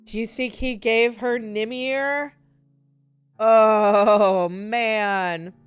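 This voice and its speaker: A woman's voice: a little high, steady tone, drawn-out words, smooth, dry recording, soft background music. A woman game streamer reading a visual novel aloud and reacting expressively in a live let's play.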